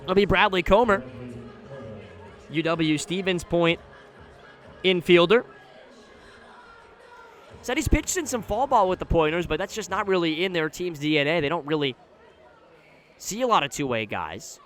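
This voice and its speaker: A man doing live radio play-by-play commentary of a baseball game, describing the action in a measured way that rises when the play picks up. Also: high voice, animated, a bit bright. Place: live at an outdoor ballpark.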